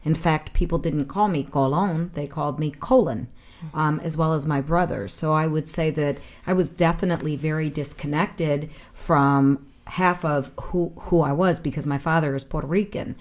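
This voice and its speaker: A woman in her sixties from Cleveland, speaking in a reflective, measured way as a panelist in a casual discussion. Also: low-pitched. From Cleveland, Ohio, USA.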